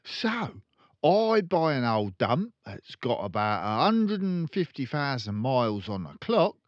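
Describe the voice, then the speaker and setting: A man voicing a rude, boastful, crooked car-dealer father in a storybook, loud and sneering. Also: mid-range voice, big pitch swings, measured pace, no room sound, highs cut off, voice pushed up. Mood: cocky explaining. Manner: loud bragging, sneering, colloquial.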